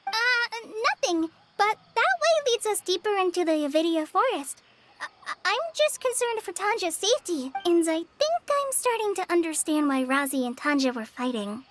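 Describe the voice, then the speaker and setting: A woman's voice: very high-pitched, sing-song, normal speed, noisy background. A woman voicing a timid, earnest young girl in a video game, with a hesitant, stammering, anxious manner. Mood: worried. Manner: hesitant, stammering, gentle and worried.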